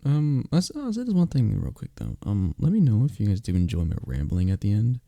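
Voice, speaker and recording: Deep, gravelly man rambling casually. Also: huge pitch swings, a little fast, mellow, dry recording. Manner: apologetic, encouraging. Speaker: audio roleplay creator. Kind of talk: casual rambling sign-off to listeners.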